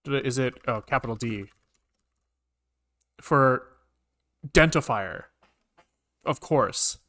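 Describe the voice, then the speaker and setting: A man's voice: mid-range voice, slow, sharp tone, no room sound. A man thinking aloud as he programs on a livestream, muttering code in fragments and swearing casually, close to a desk microphone.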